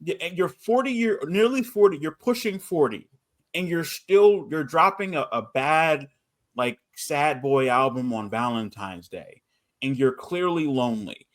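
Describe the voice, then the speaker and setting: A man with a slightly high voice chats casually as a podcast host. He is mocking and emphatic, and he hammers his phrases by repeating them. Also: expressive, unhurried. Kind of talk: casual chat.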